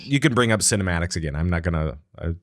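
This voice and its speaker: A man's voice: low-pitched, lively intonation, fast, no room sound. Man, podcast host, rambling, enthusiastic, dry close mic. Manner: rambling, enthusiastic, many restarts. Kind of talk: casual gaming podcast chat.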